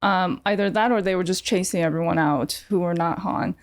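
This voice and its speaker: A woman's voice: fairly low pitch, brisk, no echo, clean studio sound. A woman with a Californian accent talking casually as an interview guest, explaining things in an informal, tentative way. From United States (California). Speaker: podcast guest, artist. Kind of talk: casual conversational interview answers.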